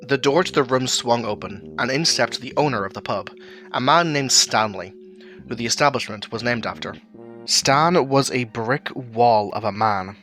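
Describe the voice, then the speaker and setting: A man's voice: medium pitch, moderate pace, sharp tone. A man narrating a scripted audio drama story. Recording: roomy echo, music underneath. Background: background music.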